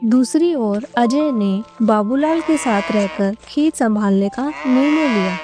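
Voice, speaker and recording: A woman's voice: on the high side, takes it slowly, over music. A woman narrating a scripted cartoon story as a storyteller.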